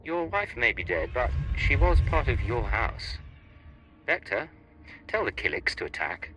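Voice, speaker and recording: Sharp, sardonic secret agent. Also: male voice, medium pitch, sing-song, measured pace, muffled recording. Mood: stern. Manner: dry, wry. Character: cool, sardonic male secret agent, in command. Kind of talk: video game voice acting.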